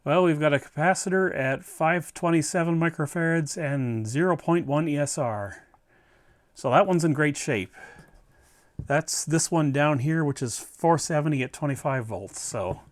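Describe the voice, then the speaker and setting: A man hosting a repair video, talking casually to the viewer and thinking aloud as he works through a hands-on job. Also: a little high. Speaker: host of an electronics repair video.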